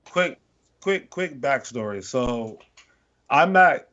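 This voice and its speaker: A man co-hosts a casual sports podcast, talking at a middling pitch and winding through his story with restarts and asides. The audio is a little dull and lo-fi. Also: slow pace. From born in London, Nigerian family. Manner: rambling, animated storytelling with restarts. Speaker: podcast co-host.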